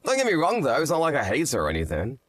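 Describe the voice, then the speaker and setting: A man's voice: on the high side, wildly swooping pitch, quick pace, no echo, studio quality. A man playing a candid, gossipy, skeptical guy in a scripted story drama. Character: skeptical, gossipy man, old high-school acquaintance.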